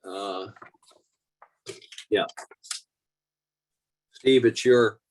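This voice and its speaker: A man's voice: lowish voice, drawn-out words, poor audio. A man chairing a public commission meeting, hesitant with ums and restarts.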